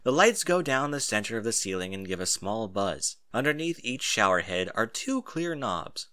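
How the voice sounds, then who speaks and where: A man narrating a first-person story aloud in an expressive, animated way. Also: lowish voice, normal speed, no room sound, clean studio sound. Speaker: audiobook narrator.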